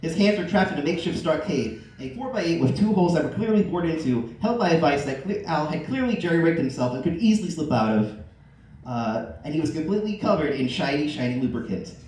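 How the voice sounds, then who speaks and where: A man's voice: on the high side, lively intonation, fairly quick, a little mellow. A man reading a comedic fan fiction aloud to listeners, prone to cracking up as he goes. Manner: keeps cracking up mid-sentence. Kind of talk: live comedic story reading. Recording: echoey room, distant mic, faint noise.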